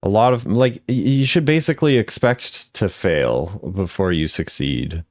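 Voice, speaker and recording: A man's voice: on the low side, unhurried, darkish tone, no room sound, studio quality. A man giving casual, laid-back reaction commentary on game voice lines in a musing way.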